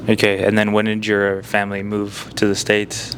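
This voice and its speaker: A man's voice: lowish voice, monotone, normal speed, fairly bright tone, a little hiss or hum. A man hosting a podcast, asking easygoing questions in loose, casual phrasing. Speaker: podcast host and interviewer. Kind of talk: casual interview, intro narration.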